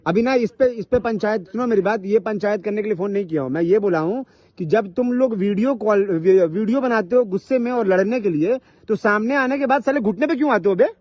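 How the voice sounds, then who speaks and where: A man's voice: fairly quick, no echo. A man in a heated argument on a recorded phone call, confrontational and repetitive, often cutting in and swearing. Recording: muffled, low-fidelity phone call recording.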